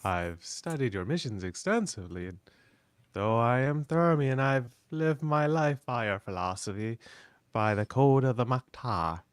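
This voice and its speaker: A man playing an earnest, reverent young alien devotee, speaking formally and haltingly in a live script read. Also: medium pitch, clear, resonant tone, dry recording, lightly acted.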